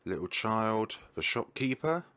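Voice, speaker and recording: A young man chatting casually as he plays a story game and thinks aloud with excitement. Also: on the low side, unhurried. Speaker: gaming YouTuber. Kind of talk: casual let's-play commentary.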